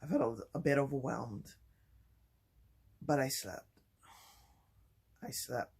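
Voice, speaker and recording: A man talking softly and haltingly in a personal vlog, with many pauses and restarts. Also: creaky, husky. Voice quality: low voice. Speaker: vlogger. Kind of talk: personal vlog, reflective talk.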